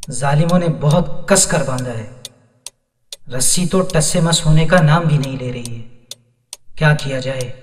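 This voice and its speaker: Echoey, distant recording; male actor as earnest, brave detective captain, fairly high voice, declamatory radio-play delivery. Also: slight background noise, lightly acted. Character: brave, devout captain, an ace detective held captive. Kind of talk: Urdu audio drama acting. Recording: echoey hall, distant from the mic.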